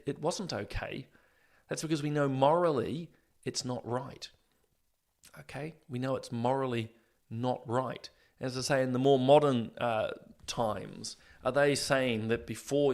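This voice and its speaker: A man hosting an opinion commentary show, earnest and morally indignant, rambling with fillers and going a little hoarse. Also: medium pitch, fairly bright tone. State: losing his voice. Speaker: host.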